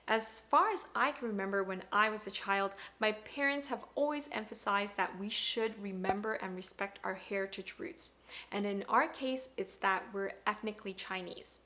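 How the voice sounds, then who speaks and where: A woman's voice: mid-range voice, normal speed, sharp tone. Upbeat Cantonese-native woman vlogger. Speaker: parenting vlogger. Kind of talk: scripted talk to camera.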